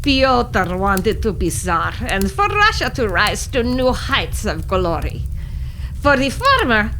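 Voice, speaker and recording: A woman playing an ancient, sly, haughty witch in an audio drama, speaking slowly and formally. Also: mid-range voice, huge pitch swings, bright, forceful tone, slight background noise, full character voice. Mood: sly, measured. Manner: slow, formal, haughty speech with cackles.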